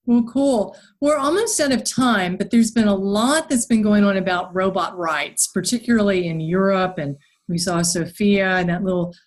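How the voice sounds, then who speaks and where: A woman's voice: mid-range voice, normal speed. Female podcast host, casual interviewer.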